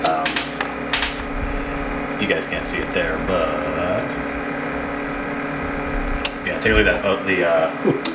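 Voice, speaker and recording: A man's voice: low-pitched, steady tone, slow, long pauses, very noisy. A man hosting a repair video, narrating casually and thinking aloud as he works in a loose, rambling way. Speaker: host of a radio repair channel.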